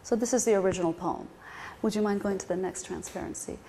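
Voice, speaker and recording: A middle-aged woman, a Persian-speaking translator born in London, giving a thoughtful, explanatory talk to a live audience, often pausing on uh and um. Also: medium pitch, dark tone. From born in London, grew up partly in Iran. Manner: thoughtful, explanatory, frequent uh and um fillers. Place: reading event venue, in front of an audience.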